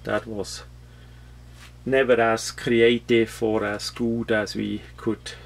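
Swiss accent guy, not a native speaker, lowish flat voice, rambling halting product explainer. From Switzerland. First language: not English. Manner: halting, rambling, matter-of-fact. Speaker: product reviewer. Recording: clear background noise with hum.

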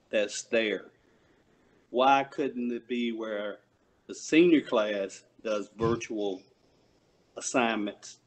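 A man at a school board meeting on a video call, asking questions in a halting way. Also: mid-range voice, poor audio. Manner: slow, halting, tentative.

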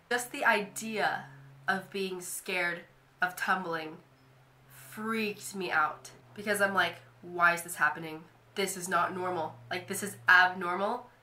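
A young woman talks to her camera in a casual, filler-heavy ramble. Her voice is bright and a little stuffy from a cold. The bedroom around her adds a noticeable echo. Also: lowish voice. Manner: chatty, lots of "like" fillers. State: nasal from a cold. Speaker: vlogger. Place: bedroom, indoors.

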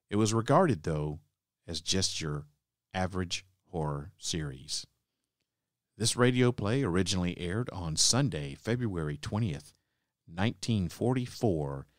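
A man hosting a podcast, reading a scripted introduction to old radio plays in measured phrases. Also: low voice, no room sound, clean studio sound. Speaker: podcast host.

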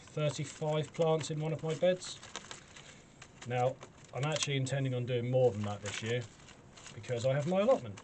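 A man in his forties with a British accent giving a casual, chatty, self-deprecating gardening demonstration to camera. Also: mid-range voice. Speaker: allotment gardening vlogger. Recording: clearly noisy camera sound with clicks.

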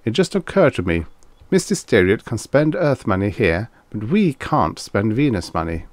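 Male reader as reserved, uneasy husband, stiff and glum, low voice, audiobook. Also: big pitch swings, normal speed, no room sound. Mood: reflective. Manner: dismal, slightly stiff.

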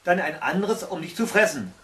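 A man's voice: fairly high pitch, big pitch swings, fairly quick, fairly bright tone. A man voicing a scornful, boastful and lazy giant slug monster in a fairy tale, with a mocking, theatrical delivery. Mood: taunting.

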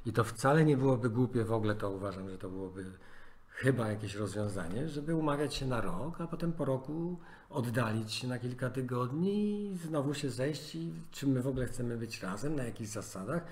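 A man's voice: medium pitch, lively intonation, fairly quick, darkish tone. Earnest male coach giving advice. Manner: earnest, advice-giving, with many fillers and restarts. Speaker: life coach. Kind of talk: podcast conversation.

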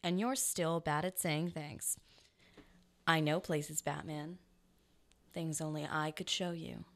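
An actress playing a teasing, sultry thief in a noir radio drama, playful and flirtatious. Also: female voice, deep voice, steady tone, slow.

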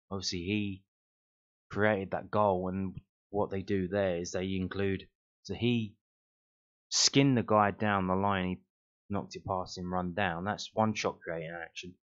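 A man with a low voice walks through football numbers as if thinking aloud. He rambles with fillers and throws in wry remarks. The home recording sounds muffled and lo-fi. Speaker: fan channel host. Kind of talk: casual screen-share commentary.

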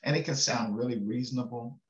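A man's voice: medium pitch, fast. An older male pastor giving a casual, folksy devotional sermon from his home study, chuckling and checking in with listeners. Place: home study with bookshelves, indoors. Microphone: webcam on a video call. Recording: echoey room, slightly dull webcam sound.

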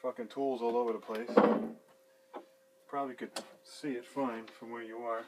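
A man's voice: medium pitch, fairly even intonation, normal speed, very noisy. Swearing man narrating a sink repair. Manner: swears freely, rambling, chuckling to himself. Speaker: handyman fixing a sink. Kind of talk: casual how-to video with running commentary. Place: small basement room with a sink and cabinets. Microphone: fixed camera a few feet away.

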